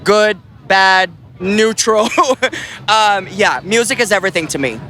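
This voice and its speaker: A man's voice: high voice, measured pace, pushed and bright. A man from Brazil, an effusive musician being interviewed outdoors. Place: outdoors at a Pride event.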